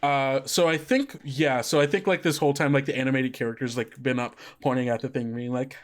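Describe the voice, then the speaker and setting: A man's voice: mid-range voice, steady tone, a little fast. A man narrating as game master, rambling with many fillers and frequent laughs.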